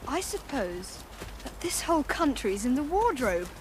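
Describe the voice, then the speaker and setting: An actress playing an earnest, bossy British schoolchild on an adventure in a radio drama. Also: female voice, high-pitched, sing-song, a little slow, noisy background.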